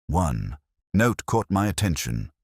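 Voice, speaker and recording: A man narrating a scripted first-person detective story in a measured, solemn, literary manner. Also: sits low, sing-song, dry recording, clean studio sound.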